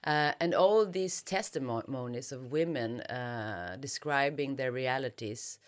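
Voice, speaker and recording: A woman with a Swedish accent giving reflective interview answers, hesitant and often pausing to search for words. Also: very deep voice. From Sweden. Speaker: interviewed novelist.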